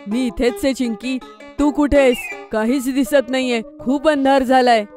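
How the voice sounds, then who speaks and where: A woman's voice: high voice, fairly even intonation, normal speed, darkish tone, soft background music, lightly acted. A woman voicing an eager, easily scared young boy with childlike expression in a children's cartoon. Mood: uneasy.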